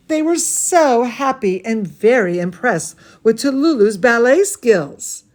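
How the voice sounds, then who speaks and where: A woman reading a bedtime story to children as their dance teacher, theatrical and gushing, with drawn-out emphasis. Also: on the high side.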